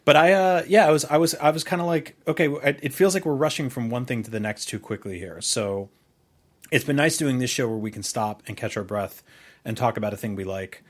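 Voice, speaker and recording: A middle-aged man with a medium-pitched voice talks as a podcast co-host. He is casual and rambling, feeling his way through sentences with halting starts and vivid comparisons. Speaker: podcast co-host, video host and writer. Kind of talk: casual conversational podcast chat.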